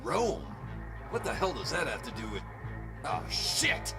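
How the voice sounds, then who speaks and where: An actor playing a middle-aged veteran army officer and treacherous villain in a video game, speaking in a gruff, familiar, taunting manner. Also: male voice, medium pitch, wildly swooping pitch, a little slow, over music, exaggerated voice. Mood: panicked anger. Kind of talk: dramatic video game acting.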